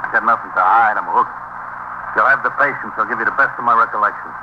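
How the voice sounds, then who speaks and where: An actor plays a low-voiced New petty thief, wisecracking and slippery under questioning. He talks fast in streetwise slang. It is 1950s radio acting on a dull, slightly noisy recording. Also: natural voice. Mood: evasive, wheedling.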